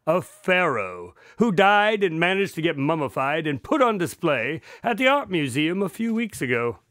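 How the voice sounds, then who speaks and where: A man acts out a wry doctor and storyteller for a radio drama. His voice is bright and rather high, swinging with exasperated emphasis. The sound is close and dry. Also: big pitch swings, moderate pace, no room sound. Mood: playful storytelling.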